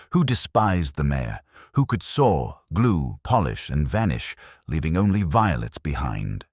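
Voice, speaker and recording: A man reads a mystery story in an extremely low voice with a husky grain. His delivery is scripted, measured and dramatic, with lively rises and falls in pitch. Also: dry recording, clean studio sound. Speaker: narrator of a detective mystery. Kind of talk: scripted literary audiobook-style narration.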